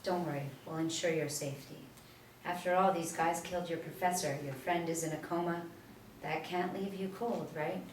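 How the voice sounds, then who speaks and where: A composed female investigator, read by a woman from a script. Her voice is on the low side, measured and reassuring. The room echoes and there is clear background noise. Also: fairly even intonation, recorded from a distance, lightly acted. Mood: calm, persuasive. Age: young adult. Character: composed, persuasive female federal agent. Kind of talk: scripted table read of a TV pilot. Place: small indoor room, seated reading.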